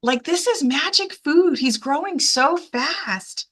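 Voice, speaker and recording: A woman hosting a children's storytime, talking directly to young listeners with excited, exaggerated reactions and questions. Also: high-pitched, takes it slowly. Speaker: children's storytime channel host. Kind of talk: animated read-aloud commentary talking directly to young children.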